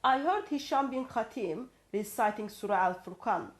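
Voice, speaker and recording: A woman's voice: on the high side, expressive, moderate pace. A woman from Turkey, speaking English as a guest, hesitant with restarts as she reads out references. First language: Turkish. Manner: hesitant, with many fillers and restarts, reading out references. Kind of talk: conversational discussion.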